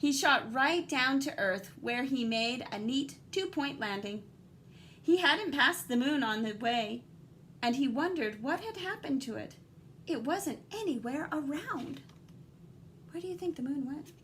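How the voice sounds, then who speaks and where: Female teacher storyteller, high voice, expressive and dramatic picture-book delivery for young kids, slight background noise. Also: a little slow. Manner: animated, dramatic emphasis, guiding and questioning. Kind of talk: expressive children's read-aloud with asides to young listeners.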